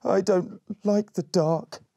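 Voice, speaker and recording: A man's voice: sits high, fairly even intonation, slow pace, mellow, no room sound, voice pushed up. A man voicing a timid, fearful young elf boy from a storybook, with a hesitant stammer. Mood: frightened.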